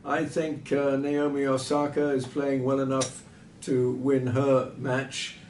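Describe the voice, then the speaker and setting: Tennis pundit in a roomy, distant recording. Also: male voice, monotone, slow, a little mellow. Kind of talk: off-the-cuff sports analysis. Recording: roomy, distant mic with background rumble.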